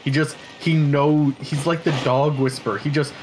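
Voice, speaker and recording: A man's voice: a little high, little pitch movement, moderate pace, crowd noise, poor audio. A young man hosting a gaming video with casual, joking, rambling commentary. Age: young adult. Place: indoors, in front of a webcam. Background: game music.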